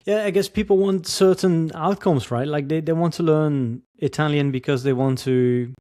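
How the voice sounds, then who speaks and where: A man with a mellow, dark tone hosts a casual podcast interview and thinks aloud with plenty of fillers. His voice sounds dry and close to the mic. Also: fairly high pitch, normal speed, dry recording. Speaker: podcast host.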